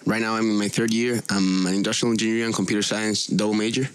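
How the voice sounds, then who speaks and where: A young man from Venezuela speaks as an interview guest. His voice sits fairly low, and he talks in a conversational way. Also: flat delivery, fast, smooth, no echo. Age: young adult. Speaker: guest, startup co-founder.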